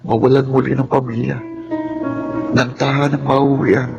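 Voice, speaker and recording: A man's voice: medium pitch, flat delivery, unhurried, vocal fry, muffled recording, over music. A man with a Filipino accent acting a polite, timid orphaned teenage boy in a radio drama, earnest and deferential. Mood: sorrowful, fearful.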